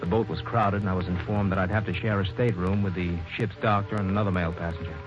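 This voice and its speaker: An actor playing a young, ambitious engineer in a 1940s radio drama, earnest and stammering when pressed, on a dull old broadcast recording. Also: male voice, sits low, fairly even intonation, fast talker, over music, close to an everyday voice. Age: young adult. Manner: stammering and earnest, rising to anger. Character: young ambitious male mining engineer narrating, bitter. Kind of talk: 1940s radio acting and narration.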